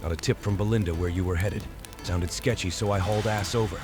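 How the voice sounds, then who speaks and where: An actor playing a gruff, loyal male cop with a casual, slangy manner in a noir audio drama. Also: low voice, steady tone, fast, close to an everyday voice. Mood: brisk, reassuring. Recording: loud music behind the voice.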